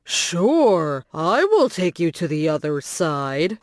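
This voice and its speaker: A woman's voice: deep voice, very animated, takes it slowly, no room sound, studio quality, pitched below the performer's own voice, full character voice. A woman voicing a sly, hungry male crocodile in a children's story, with a falsely helpful manner.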